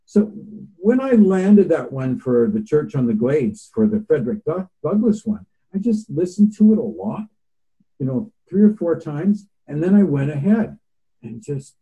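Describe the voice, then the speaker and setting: A man's voice: fairly high pitch, big pitch swings, measured pace, phone-quality sound. A man who is a voiceover artist, chatting casually as a podcast guest in a rambling way with restarts.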